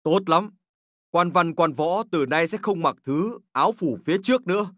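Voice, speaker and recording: A man voicing a dignified, exacting but gracious emperor in a historical cartoon, formal and deliberate in his authority. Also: a little high, lively intonation, fairly bright tone, no echo, studio quality. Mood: stately.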